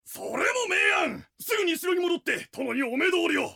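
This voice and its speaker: An actor playing a pompous, excitable male samurai retainer with archaic, blustering speech in a radio drama. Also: extremely high pitch, normal speed, creaky, shouting, heavily acted. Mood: blustering, impressed. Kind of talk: animated radio drama acting.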